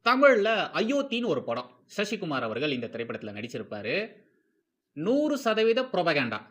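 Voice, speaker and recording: Animated bright-voiced man, Tamil Nadu accent. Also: fairly high pitch, normal speed. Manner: emphatic, repeats phrases, asks rhetorical questions; mixes English phrases into talk. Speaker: host of a film commentary series. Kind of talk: informal opinionated video commentary.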